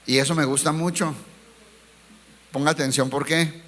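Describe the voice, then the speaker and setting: A man preaching a sermon as a pastor. He asks the congregation rhetorical questions, calls them brothers, and slows down to stress key words. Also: fairly high pitch, steady tone, fairly bright tone.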